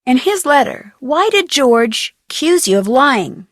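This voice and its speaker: A young American woman playing an inquisitive, plucky amateur detective in an adventure game, speaking earnestly and expressively with clear enunciation. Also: high voice, big pitch swings, measured pace, dry recording, studio quality. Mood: curious, questioning. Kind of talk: scripted adventure game voice acting.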